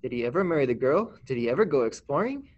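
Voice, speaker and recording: Young man acting a husky, inquisitive angel. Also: medium pitch, very animated, quick pace, muffled recording. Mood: curious. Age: young adult. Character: curious, naive angel listening to a story. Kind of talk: radio play acting.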